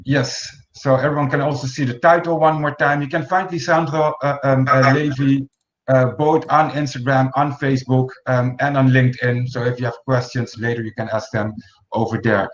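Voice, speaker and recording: A man in his forties hosts an online panel over a video call, stumbling through fillers and restarts. His voice sits at an ordinary pitch. The sound is echoey and a little dull, as if recorded in a bare room. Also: little pitch movement, normal speed, slight background noise. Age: 40s. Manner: hesitant, many fillers and restarts. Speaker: host. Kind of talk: moderating an online round table.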